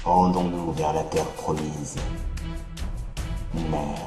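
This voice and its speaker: A man's voice: a bass voice, little pitch movement, heavily acted. A man playing a cold, megalomaniac villain warrior obsessed with his mother, solemn and menacing, in an amateur French anime dub. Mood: reverent, solemn. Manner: slow, solemn, menacing delivery. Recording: echoey, with loud music behind.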